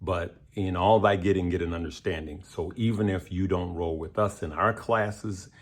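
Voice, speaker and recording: A man with a very deep voice, worn hoarse and nearly gone, hosts his own investing channel. He speaks casually and emphatically, pressing his points and checking in with his audience over and over. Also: steady tone, moderate pace. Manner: emphatic, addresses viewers directly with repeated "okay?" and "right?". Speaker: stock and crypto channel host. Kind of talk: casual direct-to-camera advice.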